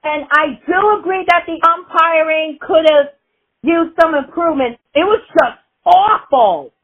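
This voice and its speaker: A woman's voice: very high voice, unhurried. A woman from the Bronx leaving a voicemail as a caller with casual sports opinions. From the Bronx, New York. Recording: dull, lo-fi voicemail.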